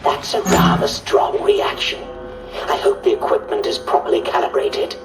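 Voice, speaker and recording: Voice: mid-range voice, wildly swooping pitch, moderate pace. An actor voicing a dotty, cheerfully callous scientist in a video game, with a patronising, absent-minded manner, over an intercom. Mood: mildly dismayed. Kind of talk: video game character acting. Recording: roomy distant intercom, music behind.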